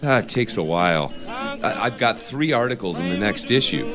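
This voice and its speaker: A man playing a reclusive, defensive record collector in an audio drama, talking in a halting, hesitant way. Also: fairly low pitch, expressive, lightly acted. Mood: defensive, troubled. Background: music.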